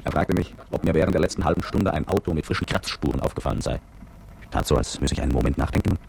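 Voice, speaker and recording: Casting: brooding male narrator, former soldier, radio drama. Deep, gravelly, terse, reflective. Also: racing, noisy background, exaggerated voice. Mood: wry, watchful. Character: brooding petrol-station attendant and former soldier, recalling events. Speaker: narrator and protagonist. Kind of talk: literary first-person radio drama narration.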